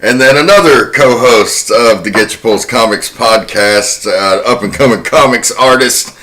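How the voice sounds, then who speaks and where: A man's voice: mid-range voice, measured pace, sharp tone, poor audio. A man hosting a horror podcast, introducing and narrating film history in a conversational way. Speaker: podcast host.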